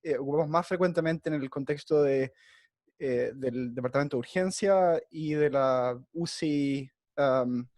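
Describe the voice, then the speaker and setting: A man's voice: medium pitch, measured pace, a little mellow, no room sound. A man with a Chilean accent, a physician guest talking semi-formally on a video call, hesitating and repeating words. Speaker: guest speaker, emergency physician.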